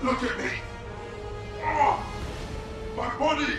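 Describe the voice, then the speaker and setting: An actor playing a distraught young male soldier coming unraveled, with slow, theatrical, creaky delivery in a video game drama. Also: steady tone. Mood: tormented, mocking. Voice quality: creaky, high-pitched. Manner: slow, theatrical, swinging widely in pitch. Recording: distant, very echoey, music behind.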